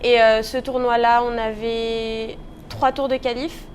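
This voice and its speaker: High-voiced young woman, noisy background. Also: little pitch movement, unhurried. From Paris region, France. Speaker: interview guest, professional tennis player. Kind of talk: casual interview answers.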